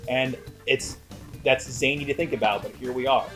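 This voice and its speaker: A man's voice: medium pitch, steady tone, normal speed, fairly bright tone, soft background music. A man co-hosting a casual film review, talking in an emphatic, playful way. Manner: emphatic, playful, swears freely. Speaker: co-host of a movie review show.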